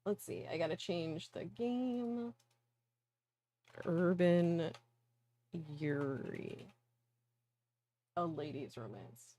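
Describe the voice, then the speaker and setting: A woman's voice: lowish voice, lively intonation, drawn-out words, long pauses, dark tone. A woman hosting a livestream of visual novels, chatting casually and playfully and sometimes reading aloud, in an informal way. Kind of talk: casual game stream chat with reading aloud.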